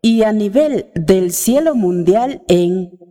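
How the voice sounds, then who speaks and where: A woman radio announcer speaks in a soft-edged, mellow tone. She delivers a scripted station identification slowly and formally, with long reverb trailing the voice. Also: medium pitch, animated.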